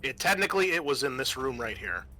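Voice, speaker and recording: A man's voice: mid-range voice, big pitch swings, fast talker, pushed and bright. A man narrating a tabletop roleplaying game as game master, explaining off the cuff with some hesitation. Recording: faint music behind the voice.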